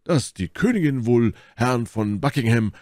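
A man's voice: medium pitch, lively intonation, a little slow, darkish tone, no echo, clean studio sound, pitched above the performer's own voice, natural voice. A man voicing a blunt, blustering and easily puzzled musketeer in an audiobook, in an emphatic way. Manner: emphatic, querulous. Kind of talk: audiobook character dialogue.

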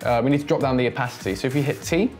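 A man in his twenties giving a casual, chatty software tutorial, thinking aloud as he demonstrates. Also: medium pitch, rapid-fire, faint music behind. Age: 20s. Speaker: host, tutorial presenter.

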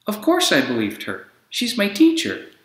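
Earnest, pleading young girl for a storybook, bright and animated man reader. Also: high-pitched, moderate pace, pitched above the performer's own voice, heavily acted. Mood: upset. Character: young girl dreaming of space, earnest, easily discouraged. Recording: echoey room.

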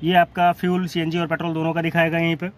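A man's voice: a little high, completely flat delivery, fast. A man with a North Indian accent presenting used cars in a matter-of-fact walkaround, listing details in short phrases. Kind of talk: spoken walkaround sales presentation. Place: outdoor car lot, beside and inside cars. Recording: noisy outdoor street ambience.

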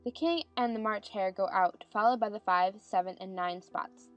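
Female narrator, mid-pitched, over faint music. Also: normal speed, dull audio. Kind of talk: scripted narration of stage directions.

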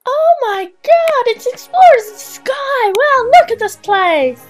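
A woman playing an excitable, panicky young adventurer in an amateur comedy radio drama, gushing and rambling melodramatically. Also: very high voice, takes it slowly, soft background music, exaggerated voice. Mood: excited, awed.

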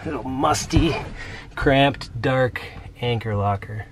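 A middle-aged man talking casually on a sailing vlog, joking and relaxed, with lots of "uh" fillers. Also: medium pitch, slow, background noise. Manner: relaxed, jokey, with many fillers. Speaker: vlogger, boat owner. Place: cabin of a sailboat.

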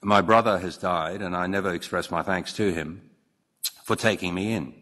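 A man, giving a measured, earnest formal speech as a newly appointed judge, with occasional slips and restarts. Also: sits low, fairly bright tone. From Hong Kong. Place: large courtroom. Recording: long reverb of a large courtroom.

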